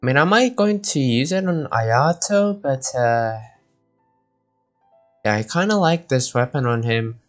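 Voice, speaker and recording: A man's voice: medium pitch, lively intonation, measured pace, soft background music. A man doing casual live gameplay commentary, rambling and thinking aloud. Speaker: game streamer.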